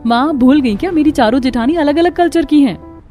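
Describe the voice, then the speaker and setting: Young cartoon bride played by a woman, high voice, polite and thoughtful, light music behind. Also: brisk, warm tone, close to an everyday voice. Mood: cheerful. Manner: earnest, polite. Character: cheerful, thoughtful young newlywed daughter-in-law.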